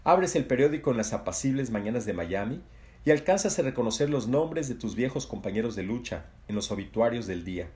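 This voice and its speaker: A man narrating a literary novel as an audiobook in a measured, even delivery. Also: medium pitch.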